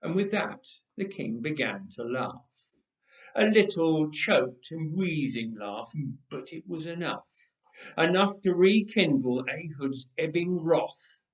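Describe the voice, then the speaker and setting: A man reading a dramatic story aloud with measured, emphatic delivery and dramatic pauses. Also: on the high side, lively intonation. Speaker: storyteller, reader. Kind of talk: dramatic scripted story narration. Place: roomy church interior. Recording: echoey church, distant microphone.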